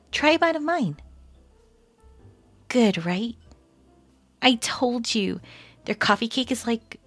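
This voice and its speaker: A woman playing a chatty, teasing female best friend in casual, animated roleplay audio. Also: on the high side, no room sound, heavily acted. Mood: cheerful.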